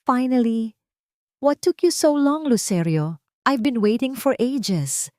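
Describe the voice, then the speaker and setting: Woman voicing a shrill, haughty villainess wife. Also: animated, slow, a little mellow, no room sound, clean studio sound. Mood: impatient, complaining. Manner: demanding, condescending, impatient.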